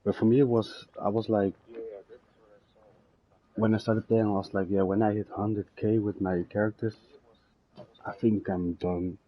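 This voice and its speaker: A young man chatting casually while playing a game, halting and often trailing off mid-thought. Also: on the low side, level tone. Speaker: game streamer. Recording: dull lo-fi mic, game music behind.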